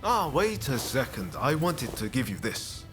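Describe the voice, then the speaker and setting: An actor playing an easygoing, friendly samurai-era companion in a video game, talking in a casual, chummy way. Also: male voice, medium pitch, big pitch swings, a little slow, fairly bright tone, soft background music. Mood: friendly, upbeat. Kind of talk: video game cutscene acting.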